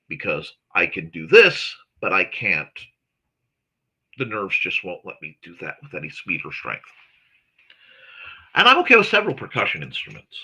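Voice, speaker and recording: A man's voice: sits low, pushed and bright, breathy edge. A man hosting a casual morning livestream, chatting with viewers in a rambling, chatty way and often correcting himself mid-sentence. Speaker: host of a morning talk stream.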